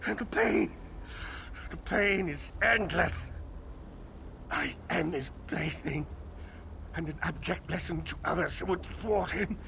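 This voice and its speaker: An actor playing a bitter, tormented villain in a video game, with a gravelly, strained, theatrical delivery. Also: male voice, fairly high pitch, pushed and bright, a little hiss or hum, heavily acted. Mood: anguished. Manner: theatrical, anguished, drawn-out. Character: bitter tormented villain, gloating schemer trapped in agony.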